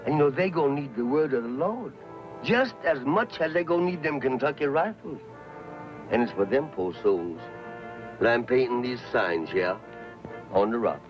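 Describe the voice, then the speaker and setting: An actor playing an earnest, pious frontier preacher with a Southern US accent and a rustic, sermonizing way of talking, in an old Western. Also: male voice, medium pitch, expressive, moderate pace, close to an everyday voice. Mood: fervent, preaching. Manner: folksy, sermonizing, rustic speech. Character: folksy frontier preacher, earnest, pious, talkative soul-saver. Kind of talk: Western film acting. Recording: muffled old film soundtrack with music.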